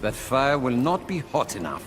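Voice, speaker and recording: Superior cold-hearted game scientist, played by a man, average pitch, stiffly formal, loud noise behind. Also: very animated, moderate pace, natural voice. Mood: disdainful.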